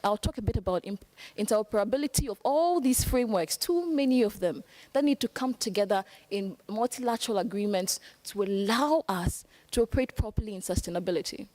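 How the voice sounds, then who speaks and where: A woman's voice: on the high side, darkish tone, dry recording, low-quality recording. A young woman from Africa speaking as a panelist, earnest and emphatic, repeating phrases for stress. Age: young adult. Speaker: youth panelist. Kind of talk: panel discussion remarks.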